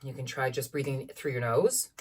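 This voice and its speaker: A woman in her thirties with a low-placed speaking voice, leading a singing warm-up at home in an encouraging, instructive way. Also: moderate pace, distant mic, slight background noise. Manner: encouraging, instructive, demonstrates vocal sounds. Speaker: voice teacher. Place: small room at home with a piano.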